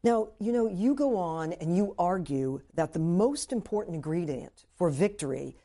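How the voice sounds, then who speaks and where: A woman hosting an interview, asking her questions in a measured, halting way with fillers. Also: lowish voice, animated, dark tone. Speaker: interviewer, host.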